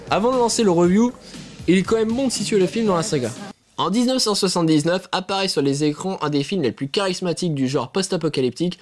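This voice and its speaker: Man, film review host, higher voice, casual joking asides, loud music behind. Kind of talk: scripted comic review narration.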